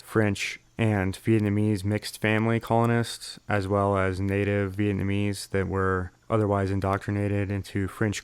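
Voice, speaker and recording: Man, low voice, smooth and clear, steady measured delivery, solo history podcast. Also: no echo. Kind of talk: reading from documents and solo history podcast talk.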